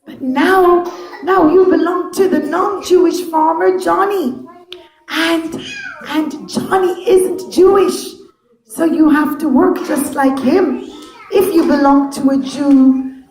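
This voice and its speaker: A kindly, reassuring man in a children's story, voiced by a woman. The voice is high and patient, explaining gently at a slow pace in an echoing room. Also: slight background noise. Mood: gentle, coaxing.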